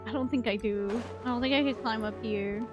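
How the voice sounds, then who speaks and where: A woman's voice: on the high side, brisk. A woman game streamer giving casual live reactions and commentary as she plays. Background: game music.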